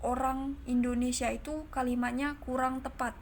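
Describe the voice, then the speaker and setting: A woman with a fairly high voice runs an online language lesson. She says each example phrase slowly and clearly, then explains it in a patient, chatty way. A faint hum of background noise sits under her voice. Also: little pitch movement. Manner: explains slowly and repeats example sentences, addresses viewers as friends. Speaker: Japanese language teacher.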